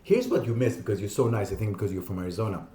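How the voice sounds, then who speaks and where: A man in his fifties from Israel, a podcast guest explaining his ideas in an animated, conversational way. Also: fairly low pitch, slight background noise. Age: 50s. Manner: animated, explaining with quick asides and laughs. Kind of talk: casual interview talk. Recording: echoey room.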